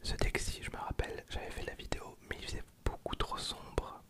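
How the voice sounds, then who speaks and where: An ASMR host speaking in a soft, breathy whisper, chatting casually and informally to viewers in an unscripted, rambling way. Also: a little fast. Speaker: host of an ASMR card-opening video channel.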